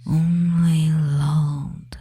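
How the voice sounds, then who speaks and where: Devious, dominating male witch, played by a woman, hypnosis audio; low, breathy whisper, flat and controlling. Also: heavily acted. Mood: lulling, controlling. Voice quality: breathy, hushed. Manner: slow, commanding, repetitive, with long pauses. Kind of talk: whispered ASMR hypnosis roleplay.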